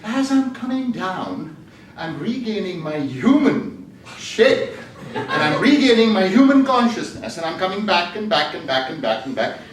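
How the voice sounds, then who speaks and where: A man giving a tall-tale speech at a speaking club contest, animated and laughing often. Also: high voice, measured pace. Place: meeting hall. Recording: echoey hall, far from mic, faint noise.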